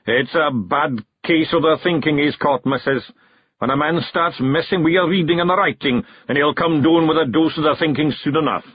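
A man's voice: a little high, normal speed, breathy edge, no room sound, highs cut off, pitched above the performer's own voice. A man voicing a blunt, matter-of-fact fairy clansman with a Scottish accent in a storybook. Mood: blunt diagnosis. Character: big blunt male fairy clansman, distrusts learning.

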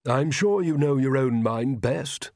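Man doing an awkward, halting, well-meaning king. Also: mid-range voice, animated, a little mellow, no echo, clean studio sound. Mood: disappointed, courteous. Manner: halting, diffident, formal and courteous. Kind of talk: audiobook character dialogue.

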